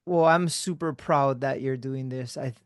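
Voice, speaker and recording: A man hosting a podcast, chatting casually with a friend in a relaxed, laid-back way, swearing freely and trailing off into quiet mumbles. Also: mid-range voice, measured pace, no echo, clean studio sound. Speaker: podcast host.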